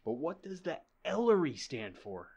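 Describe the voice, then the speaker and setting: An eager, puzzled detective's assistant played by a man in an old-time radio mystery. His voice sits on the high side and moves animatedly up and down. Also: sing-song, moderate pace, creaky, a little mellow, breathy edge, exaggerated voice.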